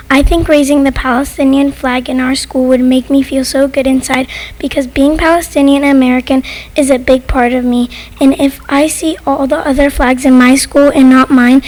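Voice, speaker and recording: High, very even young female student voice. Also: rough recording. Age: youngster of school age. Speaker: student speaking in public comment. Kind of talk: short prepared statement. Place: school board meeting room.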